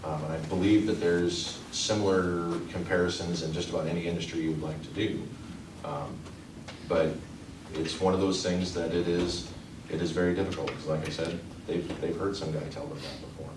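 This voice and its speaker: A man's voice: low-pitched, fairly even intonation. A man from a trade union answering questions at a community meeting, talking earnestly and restarting his sentences often. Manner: earnest, halting, many restarts. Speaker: union representative. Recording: echoey room, distant mic, noise.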